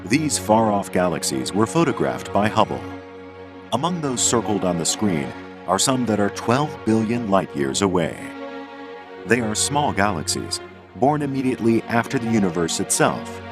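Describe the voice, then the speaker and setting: A man reads scripted documentary narration in a low register. His delivery is measured and explanatory, with lively, varied intonation, and music plays clearly underneath.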